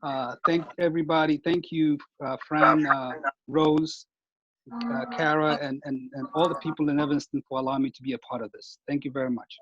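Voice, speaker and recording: A man, a painter, speaking earnestly and haltingly about his work and community over a video call. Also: a little high, fairly even intonation, a bit bright, breathy edge, no room sound. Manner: earnest, halting, repeats words. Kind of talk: casual artist talk on video call. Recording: dull, dry video call audio.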